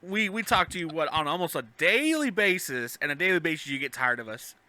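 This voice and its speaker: A man's voice: fairly high pitch, animated, brisk, sharp tone, dry recording. A man hosting a podcast in casual banter, joking and teasing as he goes. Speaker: podcast host.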